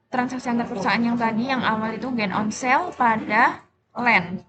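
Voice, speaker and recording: A young adult woman giving an explanatory class presentation as a student, in a halting, self-correcting manner. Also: a little high, dull audio. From Indonesia.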